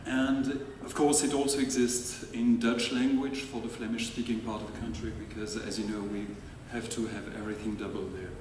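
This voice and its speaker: A man from Belgium calmly explaining his organization to a panel audience. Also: on the low side, moderate pace, hardly any pauses. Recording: distant mic, echoey hall, faint noise.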